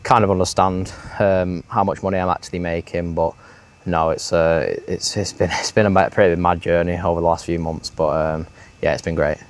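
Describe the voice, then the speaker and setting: A man's voice: low voice, fairly even intonation, moderate pace. A young man of nineteen, talking as an interview guest, hesitant and full of ums. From Manchester, England. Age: late teens. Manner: hesitant, lots of ums, laughs shyly. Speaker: interview guest, sales manager. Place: villa, indoors.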